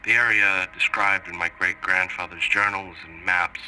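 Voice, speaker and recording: A man plays a sober interviewee giving testimony in a horror mock documentary. His voice is deep with a very sharp, forceful edge, and he pauses often mid-sentence. Faint noise sits behind him. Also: fairly even intonation, rough recording. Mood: sober, reflective. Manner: halting, deliberate, pausing mid-sentence. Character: earnest man soberly recounting an expedition to an interviewer.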